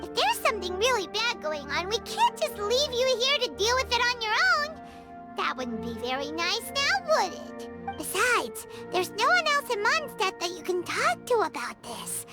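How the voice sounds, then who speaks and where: A woman voicing a small, girlish, squeaky fairy companion in an animated video game style, eager and loyal. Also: unhurried, over loud music, full character voice. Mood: earnest, insistent. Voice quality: squeaky, childlike. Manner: talks of self in third person.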